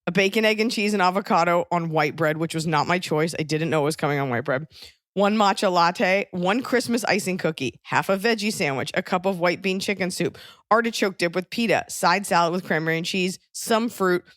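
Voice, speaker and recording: A woman's voice: fairly low pitch, fairly quick, dry recording, studio quality. A woman hosting a podcast in casual comedic chat, with a wry, self-mocking manner. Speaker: podcast host.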